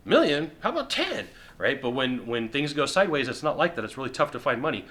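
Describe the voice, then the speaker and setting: A middle-aged man giving sales advice straight to the camera from a sofa indoors, emphatic and repetitive, with quick acted-out asides. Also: mid-range voice, sing-song, fairly bright tone. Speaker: sales coach. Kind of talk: direct-to-camera advice talk. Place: indoor living room on a sofa.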